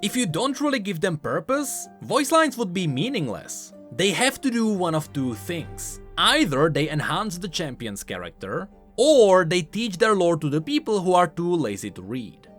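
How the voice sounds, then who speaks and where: Male video creator, emphatic commentary, highly varied melody, explanatory, music bed underneath. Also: sing-song, normal speed, fairly bright tone.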